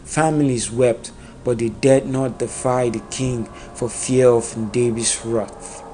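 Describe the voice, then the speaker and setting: Male narrator, slow measured delivery, solemn dramatic folktale storytelling, mid-pitched voice, prominent background ambience. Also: fairly even intonation, mellow, slight background noise. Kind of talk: scripted narration of a dark epic folktale.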